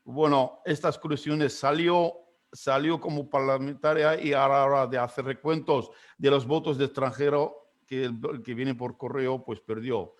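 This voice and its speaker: A man runs an online panel discussion in a voice of ordinary pitch. He speaks haltingly, filling gaps with hesitation sounds and restarting sentences while he introduces the guests. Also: fairly even intonation. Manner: hesitant, many fillers and restarts. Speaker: moderator.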